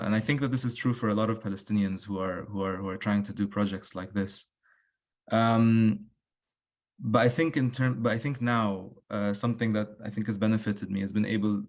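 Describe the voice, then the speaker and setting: A man's voice: fairly low pitch, a little mellow. A man answering thoughtfully on an online panel over a video call, hesitant and often repeating words. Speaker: workshop writer.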